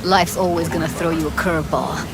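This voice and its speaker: A woman's voice: low voice, steady tone, fairly quick, very noisy. A woman playing a tough, streetwise young game character, blunt and teasing, with slangy, clipped, casual talk. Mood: resigned. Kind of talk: video game dialogue.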